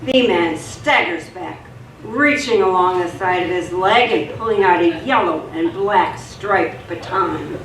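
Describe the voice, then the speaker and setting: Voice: medium pitch, measured pace, fairly bright tone. A narrator reading scripted dramatic narration for a live radio theatre piece. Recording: echoey room, distant mic, faint noise.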